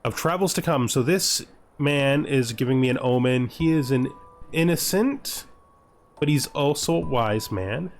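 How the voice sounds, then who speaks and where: A man's voice: medium pitch, lively intonation, a little slow, slight background noise. A man giving casual let's-play commentary, thinking aloud in short remarks and at times reading game text out. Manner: thinks aloud in short remarks, role-playing along in the first person. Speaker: gaming video host playing and commenting.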